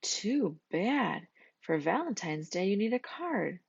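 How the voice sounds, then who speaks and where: Woman voicing a high, sweet lady skunk. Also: sing-song, takes it slowly, vocal fry, slightly rough, no echo, dull audio, heavily acted. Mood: friendly, coaxing. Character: sweet, hopeful lady skunk pushing a perfume cart. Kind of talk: children's storybook character voice.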